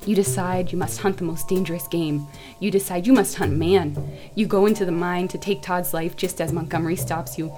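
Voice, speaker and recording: Woman playing a low-voiced earnest townswoman. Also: steady tone, brisk, music in the background, natural voice. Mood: grave, intense. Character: sweet polite townswoman with a morbid imagination.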